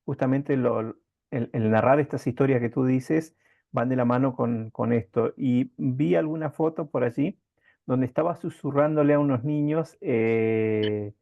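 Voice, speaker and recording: A man hosting a conversational interview, meandering and pausing as he works his way toward each question. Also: medium pitch, no echo, phone-quality sound. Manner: meandering, halting lead-ins to questions. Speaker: interviewer, host.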